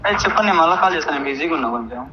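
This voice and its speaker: A man's voice: fairly high pitch, expressive, very fast, over a noisy crowd. A man in casual phone talk, politely evasive and reluctant to stay on the call. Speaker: prank call recipient. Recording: dull phone line, noisy.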